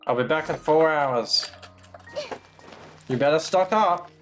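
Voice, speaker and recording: A man's voice: on the high side, slow, fairly bright tone, soft background music. A man giving casual gameplay commentary as a streamer, chatting back at the game's characters. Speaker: game streamer.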